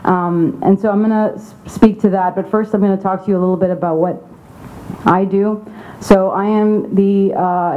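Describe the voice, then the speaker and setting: A young adult woman from Saudi Arabia giving a candid, informal conference speech as an advocacy representative. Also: lowish voice. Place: conference venue. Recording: tinny phone-like sound.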